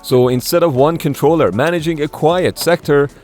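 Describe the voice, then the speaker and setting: Guy doing scripted explainer voiceover, slightly high voice, measured, bit of music behind him. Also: a little mellow. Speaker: narrator of an explainer video. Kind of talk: scripted documentary-style narration. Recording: background music under voice.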